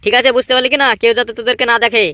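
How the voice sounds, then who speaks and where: A man's voice: extremely high pitch, little pitch movement, rapid-fire, pushed and bright, no room sound, studio quality. A man voicing an irritable, scheming village gang boss in a comedy cartoon, scolding and repeating himself emphatically. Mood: bossy, scolding. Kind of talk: exaggerated comedy cartoon dialogue.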